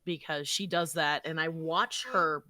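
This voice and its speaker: A woman's voice: low-pitched, steady tone, unhurried, bright, forceful tone, slight background noise. A woman chatting casually about her work as a guest on a podcast. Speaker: guest voice actor.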